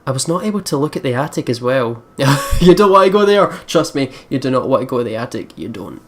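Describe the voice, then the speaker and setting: A man's voice: medium pitch, sing-song, fairly quick. A man hosting a let's play, reading a visual novel aloud and adding chatty, playful asides to viewers.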